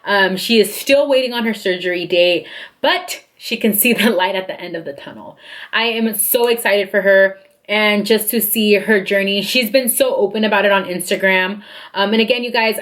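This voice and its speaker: A woman in her late twenties hosting a podcast, chatty and upbeat, quick to agree with her guest. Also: mid-range voice. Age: late 20s. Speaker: podcast host. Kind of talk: casual podcast chat.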